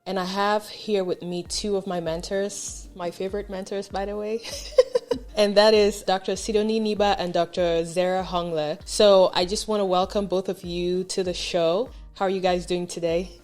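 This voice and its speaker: A woman's voice: mid-range voice, little pitch movement, normal speed, a little mellow, faint music behind. A woman hosting a podcast, presenting in a polished, upbeat way. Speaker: podcast host.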